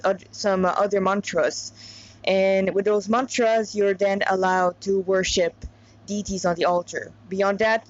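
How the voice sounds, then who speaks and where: Slow-paced woman, dull lo-fi recording. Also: mid-range voice. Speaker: fan fiction author and reader. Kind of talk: casual chat and informal story reading.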